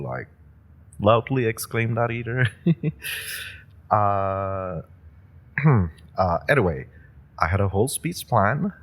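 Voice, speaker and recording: Rookie hero voiced by a man, deep-voiced, slow, flustered and rambling. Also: exaggerated voice. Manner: rambling and flustered, stammers and laughs nervously. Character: nervous, eager young male superhero rookie. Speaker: audio roleplay performer. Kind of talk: audio roleplay drama.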